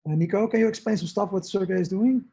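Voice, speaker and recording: A man's voice: sits high, fast talker, vocal fry, mellow, slightly rough. A man on a video-call livestream, talking informally and a bit hesitantly as a team member. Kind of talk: casual livestream talk.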